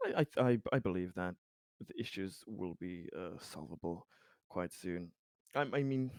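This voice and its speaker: A man's voice: lowish voice, a little mellow, dry recording, clean studio sound, close to an everyday voice. A man playing a polite, worried company representative in a tabletop game, speaking in hesitant, wordy, formal phrases. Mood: polite, careful.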